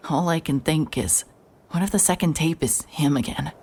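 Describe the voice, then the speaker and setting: A woman's voice: low-pitched, normal speed, darkish tone, husky. A woman playing a hard-hearted, sleep-deprived private investigator, wry and terse, narrating a noir audio drama in the first person. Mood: weary, brooding. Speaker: narrator and lead character.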